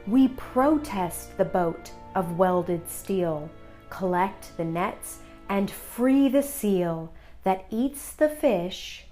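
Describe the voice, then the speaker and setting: A woman narrating a children's read-aloud in a deliberate, instructive manner. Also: mid-range voice, warm tone. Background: music behind the voice.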